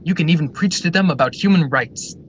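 A confident male factory director played by an actor with a fairly high voice. He declaims his ideals in polished radio-drama style. There is faint noise on the recording. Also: brisk, lo-fi sound, close to an everyday voice. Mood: grandly assured.

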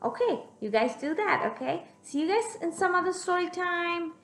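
A young woman reading a picture book aloud to young children in an expressive, teacherly way, pausing to put questions to them. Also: very high-pitched. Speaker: children's storyteller. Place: room at home, indoors. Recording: echoey room, music in the background.

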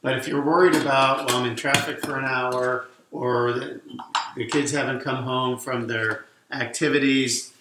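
A middle-aged man walks viewers through a home recipe, joking lightly as he works. His voice sits at an ordinary middle pitch. The sound carries the echo of a home kitchen with a faint hiss underneath. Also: fairly even intonation, normal speed. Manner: explanatory, jokey asides while working with his hands. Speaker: cooking show co-host. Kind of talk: casual cooking demonstration. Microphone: camera mic across the kitchen. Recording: echoey kitchen with faint noise.

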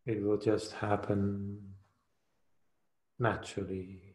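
Very slow-speaking man guiding a meditation. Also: lowish voice, level tone, darkish tone, roomy sound. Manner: soothing, unhurried, long pauses between short phrases. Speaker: meditation teacher. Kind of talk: guided meditation.

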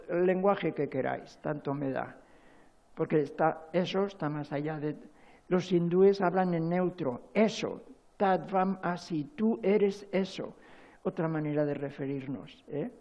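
An older man seated on a stage giving a rambling, emotional talk at a spirituality forum, full of halting restarts. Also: fairly high pitch, vocal fry, mellow, dull audio. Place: large stage, seated on a chair.

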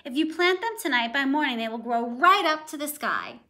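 A sly, funny-looking storybook stranger who speaks in a slow, coaxing way to strike a deal, put on by a woman reading aloud. His tone is bright and lilting. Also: sits high, animated.

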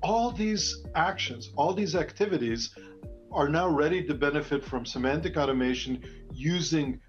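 A man's voice: fairly high pitch, normal speed, muffled recording, soft background music. An older man giving a conference presentation as a researcher over a video call from an office. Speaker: researcher, keynote speaker.

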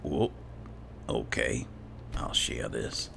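A man giving casual, low-key roleplay commentary as he hosts a video game playthrough, musing as he goes. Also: extremely slow, fairly bright tone. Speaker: gaming host. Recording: clearly noisy, game ambience behind.

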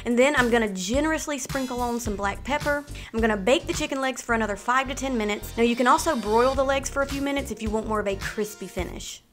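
A woman in her thirties hosting a cooking channel, walking through meal prep in clear step-by-step voice-over. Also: on the high side, quick pace, few pauses, faint music behind. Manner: clear step-by-step instructional delivery. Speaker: host of a healthy cooking channel. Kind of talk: upbeat recipe walkthrough with voice-over.

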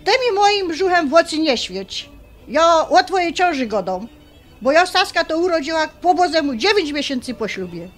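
A high, swooping female voice: a blunt highlander mother from a Polish radio play, old-fashioned and scolding, laying down the law in folksy dialect. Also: big pitch swings, moderate pace, faint music behind. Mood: bossy, grumbling. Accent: Polish highlander (Podhale). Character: bossy middle-aged mother, blunt, old-fashioned, pious. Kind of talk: radio drama acting.

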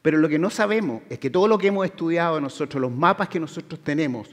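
A man's voice: fairly high pitch, fast talker. A middle-aged man with a Chilean Spanish accent, giving a public science lecture in a conversational way, with fillers and tag questions. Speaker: guest lecturer, oceanographer. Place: large lecture hall with audience. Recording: hall reverb from a lecture room.

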